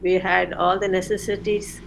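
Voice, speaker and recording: An older woman, a retired chemistry professor recalling her career in an interview, unhurried with frequent pauses. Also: lowish voice, level tone, gravelly, slight background noise, rough recording. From India. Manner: unhurried, many "uh" pauses, self-corrects. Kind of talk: reflective interview answers.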